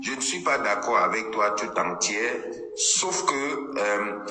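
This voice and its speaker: A man's voice: on the low side, animated, slow, bright, forceful tone. A man from anglophone Cameroon speaking French with an English-speaker's accent, arguing in a heated political debate and often repeating words as he searches for them. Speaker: panelist. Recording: echoey call with reverb and faint noise.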